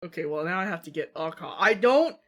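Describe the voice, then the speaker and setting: A woman's voice: on the low side, animated, fast talker. A woman with a Midwestern American accent chatting on a stream, giggling and stammering through her restarts. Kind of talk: casual stream chat.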